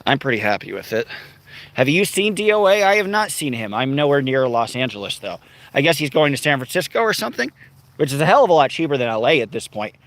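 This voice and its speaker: A man's voice: mid-range voice, very animated, fast, a bit bright. A man rambling casually on a livestream from a car, talking to his dog and his viewers. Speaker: livestreamer on a road trip.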